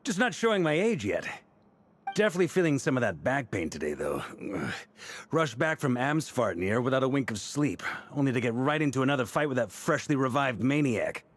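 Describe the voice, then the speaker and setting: A man's voice: mid-range voice, very animated, fairly quick, slight background noise, close to an everyday voice. A man voicing a seasoned, middle-aged knight commander in a video game, self-assured and wry, with a commanding way of talking.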